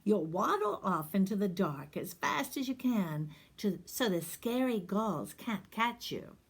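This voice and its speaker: A woman's voice: medium pitch, sing-song, unhurried, husky, exaggerated voice. A woman voicing Big Stripy Beak, a caring male puffin parent who reassures his chick. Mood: reassuring. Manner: reassuring, gentle.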